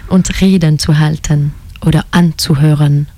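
A woman reading a story aloud in a measured, careful way. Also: sits low, steady tone, dark tone. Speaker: reader. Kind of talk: slow read-aloud storytelling.

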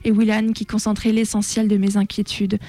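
A woman reading a written first-person testimony aloud on the radio in a solemn, measured way. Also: mid-range voice, monotone, warm tone. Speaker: radio show contributor reading a testimony on air.

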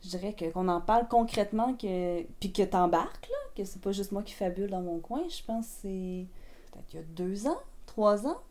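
A woman's voice: medium pitch, normal speed, warm tone. A pregnant woman with a Québécois accent, answering interview questions in casual, hesitant talk full of restarts. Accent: Québécois French. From Quebec. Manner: hesitant, many fillers and restarts. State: eight months pregnant.

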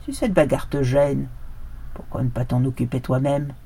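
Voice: medium pitch, normal speed, mellow, slightly rough. A storyteller voicing a proud, bearded old billy goat who brushes off a plea with scorn. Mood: scornful. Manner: bleating, haughty and dismissive.

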